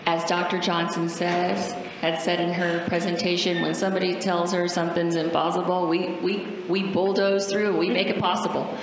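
A woman's voice: lowish voice, level tone, moderate pace, hardly any pauses. A middle-aged woman from Cuba, a native Spanish speaker, telling a personal story emphatically to an auditorium audience through a handheld mic. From Cuba, moved to the United States. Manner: emphatic, rhetorical questions to listeners. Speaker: panel speaker. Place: large auditorium stage. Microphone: handheld microphone. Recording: very echoey hall, faint noise.

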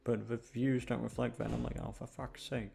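A man's voice: medium pitch, little pitch movement, normal speed, vocal fry, darkish tone. A man chatting casually to his listeners, rambling in a downbeat, complaining way and swearing freely. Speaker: channel host, audio voice actor.

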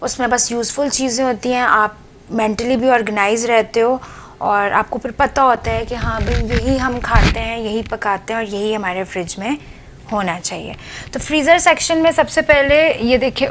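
A woman talks casually through a home kitchen walkthrough, her voice sitting somewhat high. She chats easily, dropping English words into her sentences. A faint hiss lies under the recording. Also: normal speed, fairly bright tone. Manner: chatty, mixes English words into Hindi. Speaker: cooking channel host.

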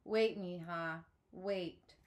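A woman voicing a gentle, protective father in a storybook read-aloud, patient and pleading. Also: lowish voice, steady tone, very slow. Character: gentle, earnest, protective father of a young girl.

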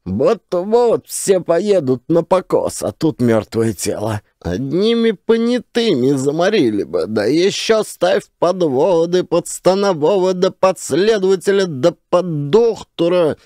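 A man voicing a blustering, exasperated village elder who scolds in a drawn-out rustic harangue, in a dramatised audiobook. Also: sits high, very animated, warm tone, no room sound, clean studio sound, voice pushed up. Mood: indignant, scolding.

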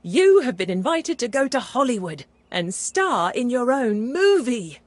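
A friendly otter ship captain for a children's cartoon game. Her voice is fairly high and swoops eagerly, with a hearty, theatrical nautical manner. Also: big pitch swings, a little slow, background noise. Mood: cheerful, inviting.

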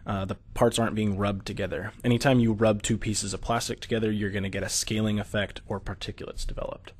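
An American man narrating a technical slideshow, explaining steadily with occasional fillers. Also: lowish voice. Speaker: product presenter.

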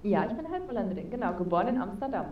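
A woman's voice: mid-range voice, sing-song, very fast, echoey room, recorded from a distance, lo-fi sound, a little hiss or hum. A young woman chatting as an interview guest over a video call with a headset, talking casually with lots of fillers. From Spain. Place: home room with neon sign, indoors.

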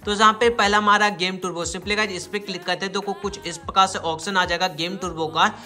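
A young man speaks in a high, bright voice as he walks viewers through a tutorial. He is informal and repetitive, constantly addressing his audience. The room adds noticeable echo. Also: steady tone, fairly quick. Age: 20s. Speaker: YouTube host, gaming tech tutorial presenter. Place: small indoor room. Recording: roomy echo, faint music behind. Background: music.

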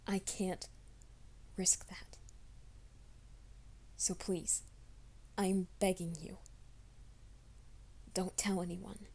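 A woman voicing a troubled young man who hides a werewolf nature, in a soft, hushed ASMR roleplay, often whispering. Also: lowish voice, flat delivery, drawn-out words, gravelly, long pauses, husky, full character voice. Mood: anxious whisper. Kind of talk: fan audio drama, ASMR roleplay.

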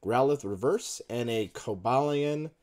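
A man in his thirties at home, casually reading out card names as he opens packs, with sudden bursts of excitement. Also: mid-range voice, unhurried. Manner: lists card names in a running murmur, brief excited outbursts. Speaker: card-opening video host. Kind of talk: casual unboxing commentary. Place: home room with a desk. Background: crinkling card wrappers.

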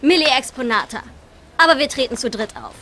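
A woman's voice: sits high, big pitch swings, brisk, sharp tone, slight background noise, full character voice. A woman playing a snooty, self-assured teenage singing contestant in an audio drama, talking condescendingly. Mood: smug.

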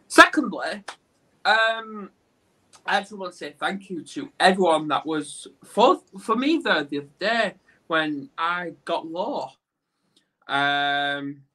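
A man in his thirties with a croaky voice from a cold, hosting a chatty video call interview and tripping over his words now and then. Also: fairly high pitch, sharp tone, low-quality recording. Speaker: host. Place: room with a green screen.

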